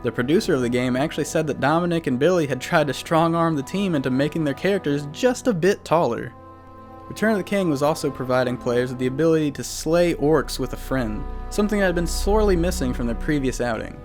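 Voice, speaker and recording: A man's voice: on the high side, fast talker, darkish tone. A man hosting a video series, reading a scripted retrospective in a wry, casually joking way. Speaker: video series host and narrator. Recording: music bed under voice. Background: background music.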